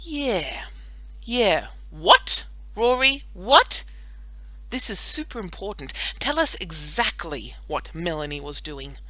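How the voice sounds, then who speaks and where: A woman's voice: fairly low pitch, sing-song, takes it slowly, sharp tone, dry recording, full character voice. A woman voicing a bossy, dramatic schoolgirl in a children's audiobook, speaking in an urgent, exaggerated way.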